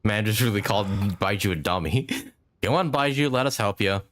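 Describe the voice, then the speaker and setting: A man's voice: fairly low pitch, expressive, a little fast, fairly bright tone. A man giving casual live gameplay commentary as a streamer, informally reacting aloud to the story. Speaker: game streamer.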